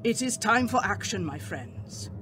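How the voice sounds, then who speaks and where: A resolute professor and vampire hunter in a radio drama. His voice is high for a man and deliberately slow, with a commanding air. There is clear background noise. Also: sing-song. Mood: urgent resolve.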